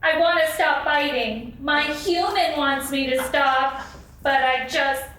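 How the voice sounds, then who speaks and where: A woman voicing a confused pet cat confessing a biting habit, halting, in an amateur puppet show. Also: sits high, steady tone, a bit bright. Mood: sheepish, troubled. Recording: echoey convention room, distant, faint noise.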